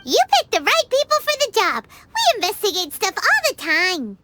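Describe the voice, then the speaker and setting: An actress playing a tiny, eager, cheeky childlike fairy companion in a video game, with a perky manner. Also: female voice, extremely high pitch, wildly swooping pitch, moderate pace, noisy background, heavily acted. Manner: perky, speaks of self in third person. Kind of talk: scripted video game dialogue.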